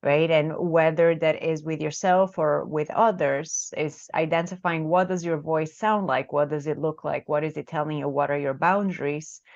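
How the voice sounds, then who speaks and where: Brazilian-accented woman, therapist guest, lowish voice, dull dry call audio; reflective, conversational. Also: no room sound. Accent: Brazilian Portuguese. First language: Portuguese. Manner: reflective, tag questions like "right?". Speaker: marriage and family therapist, guest author. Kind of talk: casual interview talk.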